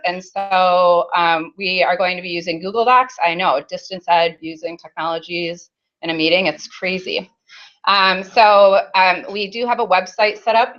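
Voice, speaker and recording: A woman's voice: medium pitch, fairly bright tone, highs cut off. A woman opening a conference workshop, chatty and casual with many ums, speaking into a handheld mic in a meeting room. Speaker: conference session host. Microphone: handheld mic with faults.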